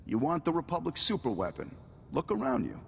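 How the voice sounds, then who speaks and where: Casting: wry game pirate chief, lively swings in pitch, polite bargainer, reverberant noisy hall. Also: male voice, vocal fry, full character voice. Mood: wry, placating. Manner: polite, wry, measured hesitations. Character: smooth-talking male pirate leader, wry, bargaining, calculating. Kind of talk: video game voice acting.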